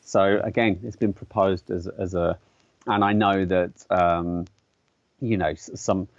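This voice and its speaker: A man with a fairly low voice explains his ideas as an interview guest. He talks thoughtfully, stopping to restart and filling pauses with ums. The sound is dry and a little dull, like a lo-fi recording. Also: fairly even intonation, no echo. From English-speaking country. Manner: thoughtful, hesitant, many fillers and restarts. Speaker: artist and educator, guest.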